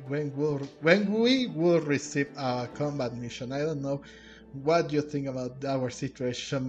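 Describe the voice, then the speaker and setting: A man with a mid-pitched voice talks casually as a game streamer, reacting and reading text aloud. Faint music sits behind him.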